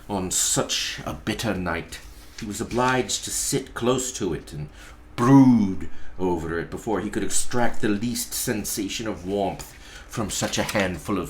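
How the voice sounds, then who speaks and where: Slow-paced man reading a story dramatically. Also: fairly low pitch, slight background noise. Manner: deliberate, theatrical phrasing with pauses. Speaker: pastor reading a story aloud.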